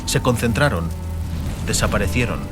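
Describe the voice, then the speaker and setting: Guy reading epic fantasy audiobook, lowish voice, measured n dramatic, music and effects behind him. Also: animated. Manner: measured, short emphatic phrases. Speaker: audiobook narrator. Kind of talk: dramatic scripted fantasy narration. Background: music and atmospheric sound effects.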